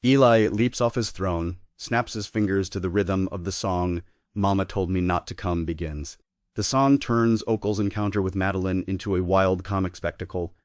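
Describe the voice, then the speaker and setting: A man's voice: sits low, moderate pace, darkish tone, no room sound, clean studio sound. A man giving scripted narration, reading the stage directions at a table read.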